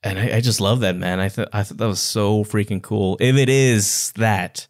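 A man's voice: on the low side, moderate pace. A man co-hosting a podcast, giving his opinions in a casual book discussion. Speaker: podcast co-host.

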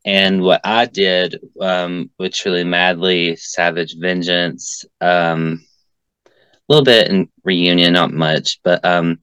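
A man's voice: low voice, steady tone, slow pace, fairly bright tone, clear, resonant tone, dry recording. A man, a filmmaker and actor, talking casually as an interview guest, rambling with frequent fillers. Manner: talkative, frequent "um" and "like". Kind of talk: casual conversation.

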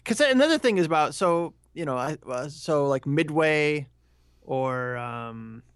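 A man's voice: fairly high pitch, lively intonation, a little slow, a bit bright, clean tone, dry recording, studio quality. A man in his thirties co-hosting a podcast, talking through films in a thoughtful, hedging way and asking probing questions. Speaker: podcast co-host. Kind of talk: casual film discussion.

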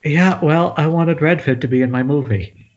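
A man's voice: on the high side, moderate pace, a little mellow, lo-fi sound, natural voice. A man playing a blunt, erratic horror writer turned director in a comedic table read over an internet call. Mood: offhand, blunt. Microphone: remote video-call microphone.

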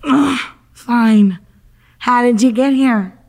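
A woman's voice: on the high side, slow, gravelly, a little mellow. A woman playing a confused, exasperated accident victim in an audio drama, with sarcastic, dramatic, slangy delivery. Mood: apologetic, flustered. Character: confused, exasperated accident victim stuck in afterlife waiting room.

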